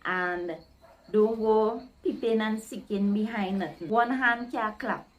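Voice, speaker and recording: A woman's voice: mid-range voice, slow pace, muffled recording. A woman from Guyana with a Guyanese accent and a touch of Trinidadian, chatting expressively in a casual vlog and acting out the phrases she explains. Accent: Guyanese, with Trinidadian creeping in. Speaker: vlogger explaining slang.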